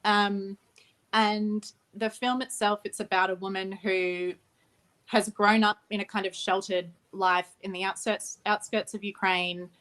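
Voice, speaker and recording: A young Australian woman talks about her film in a relaxed interview over a video call. She is friendly and quick to laugh, and often restarts her sentences, all at a middle pitch. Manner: friendly, laughs easily, self-correcting. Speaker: filmmaker guest. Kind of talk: casual interview answers.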